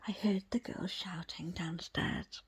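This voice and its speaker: A woman reading a book aloud in an ASMR style, in a breathy, unvoiced whisper with hushed, measured phrasing. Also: lowish voice, fairly even intonation. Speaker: book reader presenting an audiobook extract.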